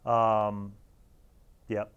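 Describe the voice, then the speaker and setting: A man in his forties with a slightly low voice hosts a casual review. He talks in an informal, wry, conversational way, leaning on words for emphasis. He is recorded on a lapel mic in a small studio. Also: monotone, drawn-out words, clear, resonant tone, muffled recording. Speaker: host reviewing old gaming magazines. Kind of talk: casual, informal commentary to camera.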